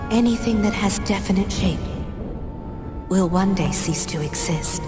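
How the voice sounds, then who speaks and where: An actress playing a solemn, measured woman who narrates a game cutscene about a doomed world in a portentous tone. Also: medium pitch, music in the background, natural voice. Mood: solemn, foreboding. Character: solemn woman recounting a doomed planet's fate.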